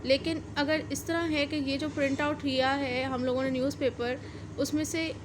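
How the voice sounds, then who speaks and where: A woman's voice: high voice, little pitch movement, moderate pace, background noise. A woman with a Pakistani accent giving an explanatory law lecture step by step, rephrasing her points and mixing in English legal terms.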